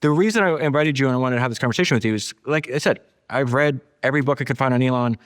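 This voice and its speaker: A male podcast host with a mid-range voice and a quick pace. He speaks emphatically, repeating key phrases for stress as he reads out quotes and comments on them in conversation. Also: mid-range voice. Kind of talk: animated book discussion, reading quotes aloud and commenting.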